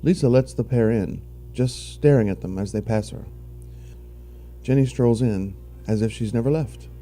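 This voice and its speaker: A man's voice: mid-range voice, normal speed, warm tone, soft background music. A man reading scripted narration for an audio drama in the role of narrator.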